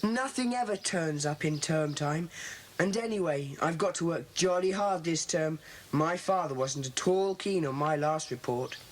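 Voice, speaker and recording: A woman playing a bossy, impatient schoolboy leader of a secret club in a children's audio drama, speaking in a brisk, commanding way. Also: low-pitched. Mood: firm. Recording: faintly noisy old cassette.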